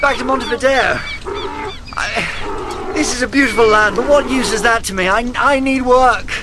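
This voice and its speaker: An actor playing a polite, earnest young English gentleman in a radio drama, hesitant but proper. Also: extremely high pitch, moderate pace. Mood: weary, earnest. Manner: hesitant, proper, indignant when pushed. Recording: loud noisy ambience behind dialogue.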